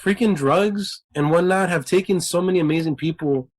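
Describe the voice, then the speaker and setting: A man's voice: sits high, normal speed. A man in his late twenties, a musician guest on a podcast, rambling with lots of filler words. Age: 20s. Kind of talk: casual interview chat.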